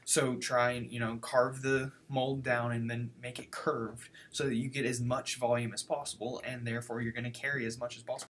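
A man's voice: mid-range voice, flat delivery, a little fast, hardly any pauses, fairly bright tone, slight background noise. Young man explaining casually on webcam. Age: young adult. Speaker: student. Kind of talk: explaining to camera. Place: home office, indoors.

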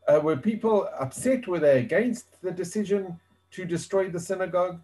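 A man interviewing over a video call, gently repeating and rephrasing his questions. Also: high-pitched, normal speed, faint music behind, rough recording.

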